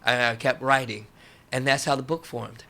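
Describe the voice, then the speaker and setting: A man speaking as an interview guest, telling stories casually and haltingly, repeating phrases as he goes. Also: medium pitch, steady tone, vocal fry, pushed and bright. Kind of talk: casual conversational storytelling.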